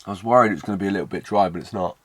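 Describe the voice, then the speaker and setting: Raspy man with a cold. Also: low-pitched, rapid-fire. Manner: relaxed, chatty, rambling asides. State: hoarse from a cold. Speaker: van life vlogger. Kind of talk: casual vlog chat to camera. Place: inside a camper van at a campsite.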